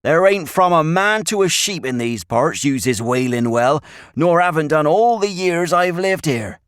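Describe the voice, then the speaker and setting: Storybook role: man playing grave old shepherd, rural English accent, folksy and emphatic, lively intonation, dry sound. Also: a little high, a little slow, smooth, no echo, voice pushed up. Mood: amused. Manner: emphatic, folksy dialect speech. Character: old country shepherd, grave, warning, rustic.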